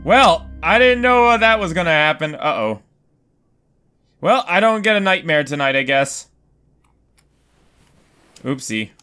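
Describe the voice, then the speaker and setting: A man's voice: on the high side, animated, measured pace, pauses often, bright, forceful tone, clean tone, soft background music. A young man casually commenting on a horror game he is playing, rambling, swearing often and talking to himself. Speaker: gaming video host. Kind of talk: casual let's play commentary. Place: home room.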